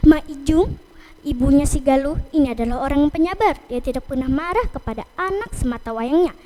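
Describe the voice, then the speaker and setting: A woman telling a children's folktale in a deliberate, phrase-by-phrase way. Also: high-pitched, warm tone. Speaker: storyteller. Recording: noticeable background noise.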